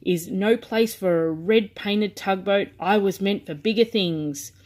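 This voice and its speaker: A woman's voice: mid-range voice, unhurried, a little mellow, natural voice. A woman voicing a grumpy, proud little toy tugboat in a storybook, with a haughty, sulky delivery.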